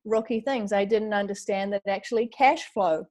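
A woman's voice: mid-range voice, moderate pace, darkish tone. Chatty New Zealand woman in her forties. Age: 40s. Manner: chatty, lots of fillers and quoted self-talk. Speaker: guest. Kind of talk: casual interview chat.

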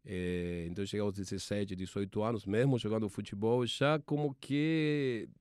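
A man in his forties from Argentina, speaking with an Argentine Spanish accent, a TV sports producer telling his career story in halting speech with many restarts. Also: fairly low pitch, fairly even intonation, darkish tone, smooth, no room sound, clean studio sound. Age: 40s.

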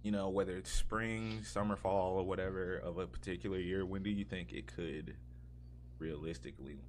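Guy with a really low voice chatting on a gaming podcast, kinda rambly, some hum and noise behind him. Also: fairly even intonation, normal speed. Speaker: podcast host. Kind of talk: casual gaming podcast discussion.

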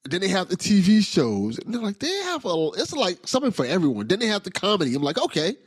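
A man hosts a podcast with a bright, husky voice that leaps up and down in pitch. He talks in an enthusiastic, casual way, laughing often and repeating himself. The sound is dry and close. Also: wildly swooping pitch, quick pace, no echo. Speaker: podcast host.

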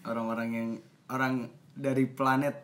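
A man's voice: medium pitch, flat delivery, moderate pace, fairly bright tone. A young man from Bekasi chatting casually on a podcast as a student guest, slangy and advice-giving. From Bekasi, West Java. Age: young adult. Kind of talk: casual chat.

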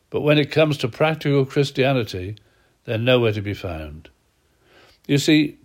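A man with a cold giving a short devotional Bible talk, earnest and measured, his phrases sinking low at the ends. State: has a cold. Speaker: preacher.

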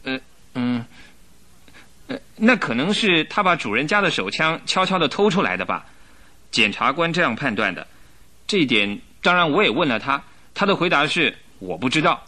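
A man's voice: on the high side, sing-song, normal speed, sharp tone, heavily acted. A man acting an earnest police inspector in a radio drama, explaining politely with some hesitation.

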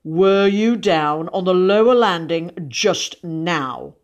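A woman's voice: on the low side, slow, lo-fi sound. Woman doing a blustering, irate father. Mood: furious. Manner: spluttering, clipped, compressed fury. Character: irate, blustering middle-aged father roused from sleep.

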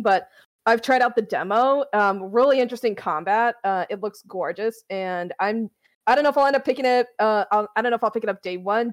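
A woman in her thirties co-hosting a podcast, chatting in an upbeat way about upcoming games. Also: medium pitch. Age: 30s. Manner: chatty, upbeat, laughs often. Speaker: podcast co-host. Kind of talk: casual chat.

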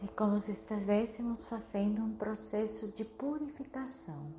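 A woman leading a guided meditation in a soothing, unhurried way, with short phrases and long pauses. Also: mid-range voice, dark tone, a little hiss or hum. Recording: music behind the voice. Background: meditation music.

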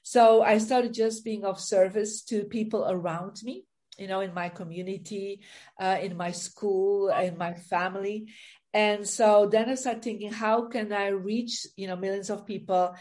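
A woman's voice: medium pitch, steady tone, unhurried, a little mellow. A middle-aged woman from Belgium with a Belgian accent, telling her story as a guest in a casual interview, with light laughs. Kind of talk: interview on a video call.

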